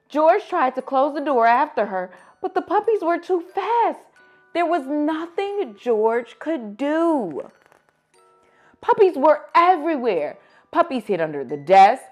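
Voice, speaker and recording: A woman reading picture books aloud to children as host, with expressive, dramatic emphasis. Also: a little high. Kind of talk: animated storybook read-aloud for children.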